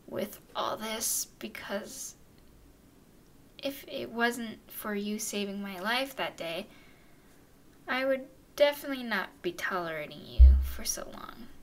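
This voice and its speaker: Girl doing a haughty teasing vampire lady, asmr roleplay, kinda high voice, takes her time, breathy exasperated asides. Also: animated, measured pace, a bit bright, slight background noise. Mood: haughty, annoyed. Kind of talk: ASMR roleplay, acted monologue to listener.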